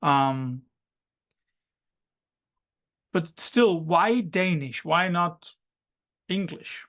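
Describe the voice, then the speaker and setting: A man's voice: fairly high pitch, lively intonation, takes it slowly, fairly bright tone. A man interviewing a musician, asking direct, probing questions in a conversational way.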